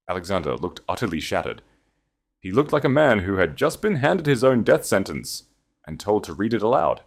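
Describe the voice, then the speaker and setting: Male audiobook narrator, very low voice, dramatic, wide-ranging delivery. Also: vocal fry. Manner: intense, dramatic storytelling.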